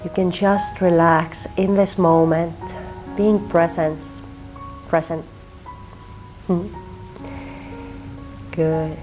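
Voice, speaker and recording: A woman from Finland with a Finnish accent, teaching a gentle yin yoga class and softly cueing breath and movement. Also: lowish voice, fairly even intonation, extremely slow, long pauses, faint music behind, low-quality recording. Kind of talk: guided yin yoga instruction.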